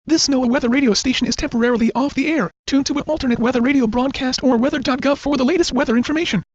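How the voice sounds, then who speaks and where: A woman's voice: high voice, racing, a little mellow, no room sound, dull audio. A synthetic text-to-speech woman's voice reading a scripted emergency announcement on weather radio.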